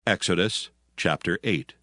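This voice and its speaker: A man giving solemn, measured scripted narration for a dramatized scripture reading. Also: low voice, bright, forceful tone, no room sound, clean studio sound. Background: music.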